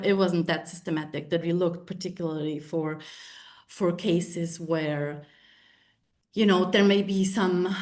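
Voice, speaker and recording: A woman's voice: fairly low pitch, a little mellow. A woman from the Republic, presenting research at a lab meeting, hesitant with many fillers and restarts. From Czech Republic. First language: Czech. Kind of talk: academic presentation with Q&A.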